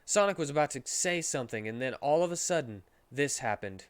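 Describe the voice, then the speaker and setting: A man with a mid-pitched voice narrates a story aloud. He reads it in a mock-dramatic streamer style. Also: lively intonation, fairly quick, clear, resonant tone, no echo.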